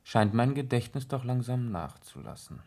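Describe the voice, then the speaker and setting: An actor playing a courteous, inquisitive gentleman detective in a radio play, polite and measured. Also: mid-range voice, sing-song, gravelly. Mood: casually inquisitive. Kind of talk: 1970s German radio play acting.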